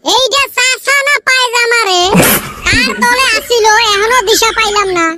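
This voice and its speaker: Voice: very high voice, moderate pace, over music. A performer voicing a boastful, complaining young village lad in a comic cartoon, with a rural Bengali dialect. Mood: boastful, defiant. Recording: very noisy amateur audio with clicks.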